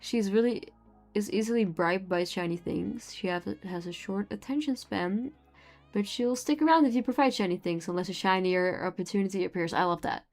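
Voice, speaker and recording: A young Dutch woman chats with her live stream audience, her English colored by a Dutch accent. She talks loosely, giggling and trailing off mid-thought. Soft music plays faintly behind her. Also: medium pitch, normal speed. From Netherlands. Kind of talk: casual chat with chat while drawing.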